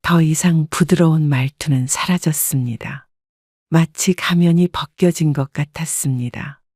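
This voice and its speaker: A woman narrating a scripted first-person family story in a calm, reflective tone. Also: low voice, a little slow, no echo, studio quality.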